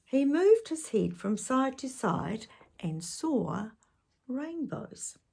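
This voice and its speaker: A woman reading a children's storybook aloud, with measured, expressive narration for young listeners. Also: high-pitched, gravelly, slightly rough.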